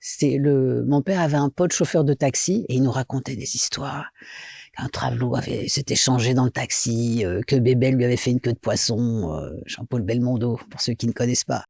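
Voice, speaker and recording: Woman from Belgium, middle-aged, gravelly voice, warm tone, dry recording. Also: a bass voice, brisk, dry recording. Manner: lively, chatty, many fillers and restarts. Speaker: actress and director, guest. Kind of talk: casual interview chat.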